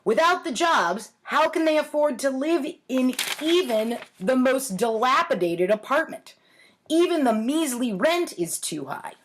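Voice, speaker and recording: A woman around forty reading aloud at home with wry, theatrical delivery and casual self-mocking asides. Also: high-pitched. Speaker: host reading her own childhood writing. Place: home kitchen, indoors. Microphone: webcam or laptop at arm's length.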